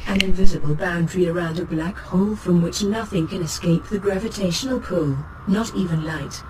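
An actor voicing a calm, knowledgeable artificial ship's computer, giving scripted encyclopedic narration in a measured, formal, precise manner. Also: medium pitch, lightly acted. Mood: calm, informative. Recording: clear noise, spaceship ambience behind.

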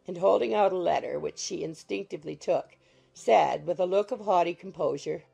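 A woman reading scripted literary narration from a classic novel as an audiobook narrator, in a husky, creaky voice. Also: fairly low pitch, normal speed, warm tone. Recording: music behind the voice. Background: music.

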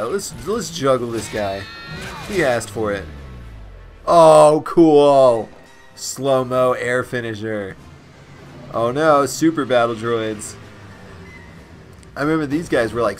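Man, let's play host, raspy stuffed-up voice, excitable, faint game music behind. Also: medium pitch. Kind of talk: gameplay commentary.

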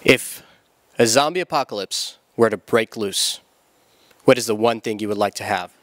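A young man playing a mock street reporter, firing terse, repetitive deadpan questions into a handheld mic in an indoor church hall. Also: lowish voice, normal speed. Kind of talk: comedic deadpan interview questions.

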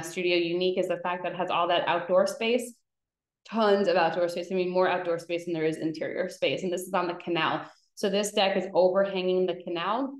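A roomy, echoing webcam recording of a woman with a slightly low voice. She talks through slides as a real estate presenter, explaining in an informal, conversational way. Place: indoor room, video call. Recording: roomy echo on webcam call.

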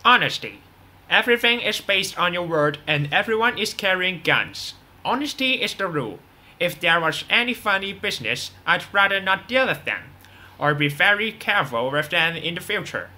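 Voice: mid-range voice, very animated, moderate pace, sharp tone. A performer playing a brash, unrepentant drug boss on the run in a radio drama, boastful and dismissive. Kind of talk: scripted radio drama interview.

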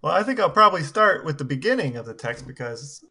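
A man's voice: a little high, big pitch swings, fast, sharp tone, husky. A man co-hosting a podcast, explaining things in casual conversation. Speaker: podcast co-host.

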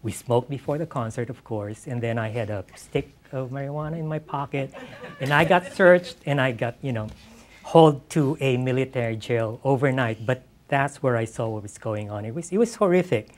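A man's voice: medium pitch, moderate pace, slight background noise. A middle-aged man with a Filipino accent, answering conversationally as an author in an on-stage interview, often repeating words as he searches for the next. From Philippines. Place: on stage in an auditorium before an audience. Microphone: lapel mic.

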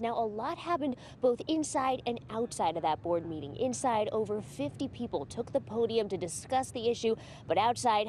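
A young female field reporter speaks into a handheld mic outdoors at night, her delivery crisp and scripted. A faint rumble of noise sits behind her. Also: a little mellow. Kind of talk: live news report. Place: outdoor parking lot at night.

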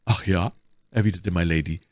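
A man's voice: sits low, moderate pace, darkish tone, dry recording. A man voicing a scheming noblewoman who feigns innocence in an audiobook, with polished, formal, artfully naive speech. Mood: sighing, wounded. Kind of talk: audiobook character dialogue.

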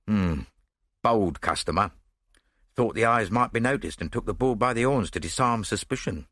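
A man's voice: on the low side, animated, normal speed, fairly bright tone, no echo, clean studio sound. A man voicing a blunt, matter-of-fact Scotland Yard policeman with a grunting manner, in an audiobook story. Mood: brisk, sure.

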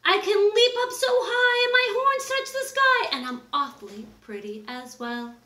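A woman voicing a vain, pretty gazelle from a children's storybook, boasting in expressive rhyme. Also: very high voice, moderate pace, pitched above the performer's own voice, exaggerated voice. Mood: proud, boastful. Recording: roomy, echoing indoor recording.

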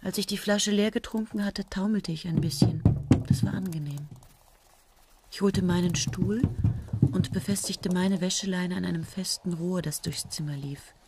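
An actress playing a tired, self-mocking woman scientist in a radio play, talking quietly and often near a whisper. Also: on the low side, normal speed, lightly acted. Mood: flat narration. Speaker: lead character and narrator. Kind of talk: 1970s German radio play acting. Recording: old radio play with loud hiss.

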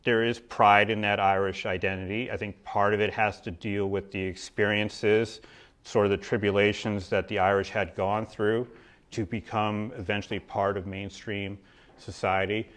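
A man's voice: on the low side, level tone, normal speed, a bit bright. A man giving a history talk at a podium in a lecture hall, then taking audience questions, with a conversational manner and frequent restarts.

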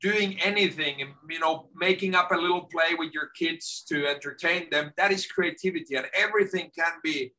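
A middle-aged man from Finland, a guest on a video call from his home office, talking in a lively way and often repeating words as he starts a sentence. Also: fairly high pitch, normal speed, hardly any pauses, rough recording. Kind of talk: casual video-call interview.

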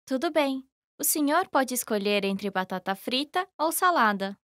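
A woman reading scripted lesson dialogue in a careful, even way. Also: sits high, measured pace, no echo, clean studio sound. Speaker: language lesson voice actor.

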